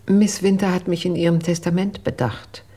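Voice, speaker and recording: An actress playing a reserved housekeeper giving testimony in a German radio crime drama, formal and measured. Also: female voice, lowish voice, steady tone, mellow, lightly acted.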